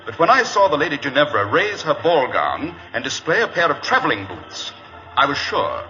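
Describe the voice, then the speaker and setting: A shrewd gentleman detective in an old radio play. His voice is very bright and crisply forward, swinging widely in pitch, with urbane, quietly amused understatement, on a dull vintage recording. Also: big pitch swings, normal speed, faint music behind. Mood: wryly amused. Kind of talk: old-time radio drama acting.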